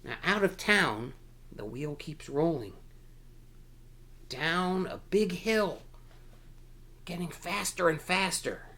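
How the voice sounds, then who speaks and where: A man's voice: on the high side, expressive, slow, pauses often, bright, forceful tone. A man reading a children's picture book aloud in a playful, exclamatory manner with dramatic emphasis. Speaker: storytime reader.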